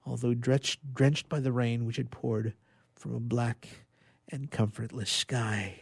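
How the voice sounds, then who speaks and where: Male reader, raspy with heavy fry, deliberate dramatic literary delivery. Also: mid-range voice, steady tone, dry recording, studio quality.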